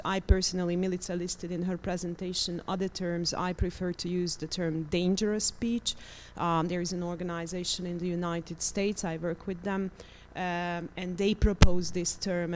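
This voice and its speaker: Woman on a panel, lowish voice, halting delivery with lots of fillers. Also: a little mellow, slight background noise. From Hungary. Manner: hesitant, many ums and uhs, restarts. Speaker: panelist, media literacy educator. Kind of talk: panel discussion.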